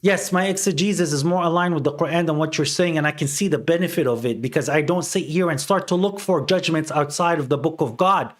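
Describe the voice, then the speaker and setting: A man's voice: fairly high pitch, a little fast, hardly any pauses. A man debating in a heated argument, pressing the same short questions again and again. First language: Arabic. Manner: insistent, repeats short questions and fillers. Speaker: debater defending his view.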